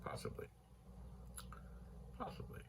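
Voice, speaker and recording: A soft-spoken man casually thinking aloud while testing old computer gear in his home computer room, trailing into whispered asides. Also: unhurried, background noise. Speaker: retro computer vlogger. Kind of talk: casual rambling narration while testing hardware. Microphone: phone mic.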